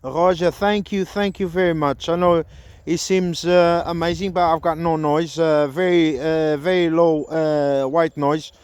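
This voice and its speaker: A man's voice: on the high side, steady tone, measured pace, darkish tone, clean tone. A man making radio contact as a CB operator, speaking hesitantly and repeating himself. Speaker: CB radio operator testing an antenna. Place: outdoors in a park.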